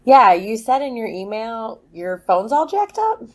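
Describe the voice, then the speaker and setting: A woman's voice: a little high, sing-song, measured pace. An actress playing a brusque, skeptical woman scientist in an audio drama, blunt, informal and casually sweary.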